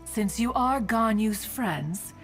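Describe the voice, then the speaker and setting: A woman's voice: on the high side, expressive, takes it slowly. A woman voicing an ancient, talkative immortal crane guardian in a video game, stately and pompous with archaic phrasing. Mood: stately, amiable. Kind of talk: English video game voice acting. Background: game music.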